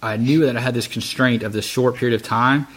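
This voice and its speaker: A man around his thirties or forties hosts in a casual, conversational style. He speaks quickly in a mid-range voice, as in a relaxed interview chat. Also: medium pitch. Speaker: podcast host.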